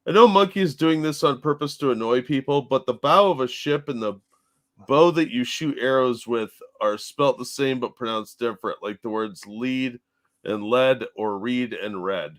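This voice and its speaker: A man's voice: medium pitch, moderate pace, fairly bright tone. A man co-hosting a casual commentary show, laid-back and casually profane, answering viewers' chat messages. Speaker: co-host.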